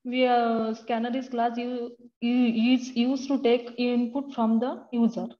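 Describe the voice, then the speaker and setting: Female student, flat hesitant answer, muffled call audio. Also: fairly high pitch, unhurried. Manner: recites answer hesitantly, says "ma'am".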